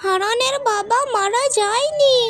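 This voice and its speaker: A woman's voice: extremely high pitch, measured pace, fairly bright tone, faint music behind. A woman voicing a stern female hermit sage in a fairy-tale cartoon, speaking in a solemn, imperious manner. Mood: solemn, instructive.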